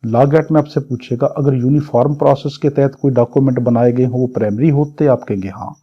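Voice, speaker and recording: A man's voice: medium pitch, steady tone, brisk, breathy edge, highs cut off. A man with a Pakistani accent lecturing for a law exam, reading clauses and explaining them in a didactic, repetitive, question-and-answer way.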